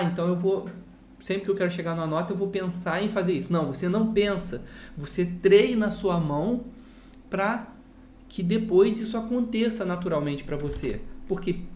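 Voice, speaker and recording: A man's voice: sits high, a little mellow, a little hiss or hum. A man from Brazil teaching guitar in a casual lesson, explaining with rhetorical questions and a lot of "look here" asides. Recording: roomy sound with guitar playing.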